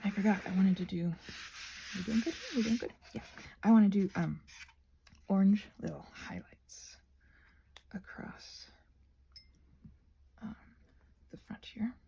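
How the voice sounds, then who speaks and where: A woman chats casually in a husky, breathy voice with a gravelly creak at the edges. Her tone is soft and mellow, often falling to a near-whisper. She is hesitant and self-deprecating, letting thoughts trail off as she rambles to viewers. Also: mid-range voice, measured pace, long pauses, low-quality recording. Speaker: ASMR creator rambling while crafting.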